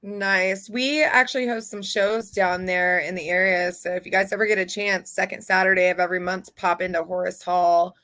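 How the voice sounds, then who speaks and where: A woman's voice: on the low side, little pitch movement, normal speed, few pauses, fairly bright tone, dry recording. Chatty woman hosting a radio interview. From not from Texas. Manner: chatty, upbeat, uses many fillers. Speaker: radio show host. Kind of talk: casual interview chat.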